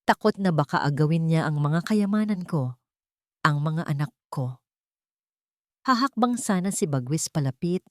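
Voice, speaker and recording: A woman narrating a romantic audio drama in a dramatic, expressive storytelling manner. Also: low-pitched, moderate pace, long pauses, no room sound, clean studio sound.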